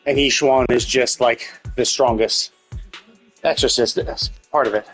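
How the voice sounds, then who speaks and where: A man's voice: mid-range voice, fairly even intonation, measured pace, fairly bright tone, low-quality recording. A man streaming a story game, thinking aloud in a casual, rambling, sarcastic way and swearing freely. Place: indoors, livestream setup. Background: music.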